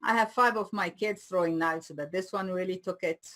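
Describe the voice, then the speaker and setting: A woman's voice: fairly low pitch, normal speed, a bit bright. A woman in her sixties from Switzerland, a native French speaker with a French-Swiss accent tinged by English learned in India, chatting playfully as an interview guest. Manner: chatty, laughs easily, playful. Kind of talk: casual interview chat.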